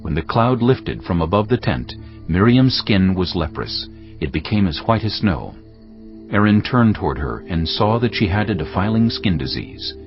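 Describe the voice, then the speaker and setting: A man narrating scripture from a script in a formal, measured storytelling manner. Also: low voice, animated, dull audio. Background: music.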